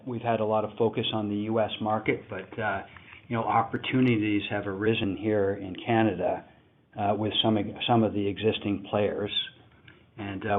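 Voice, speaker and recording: Exec guy on a phone call, lowish voice, measured and halting with lots of pauses. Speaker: company executive on an earnings call. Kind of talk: unscripted answers on an investor call. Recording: tinny phone line.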